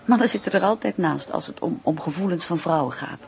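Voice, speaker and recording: A woman's voice: on the low side, animated, a little fast, creaky, darkish tone. An actress playing an ardent, stubborn younger woman in love in a radio drama, speaking. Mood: hurt, insistent. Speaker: actor in a radio play. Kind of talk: Dutch radio drama acting.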